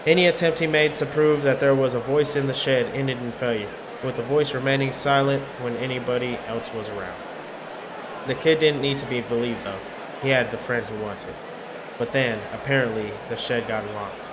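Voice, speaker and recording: A man narrates a horror visual novel in the first person, with a dry and wry storyteller's delivery. His pitch is average for a man. Clear background noise runs under his voice. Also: steady tone, moderate pace. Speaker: game player reading narration aloud. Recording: noisy urban ambience behind.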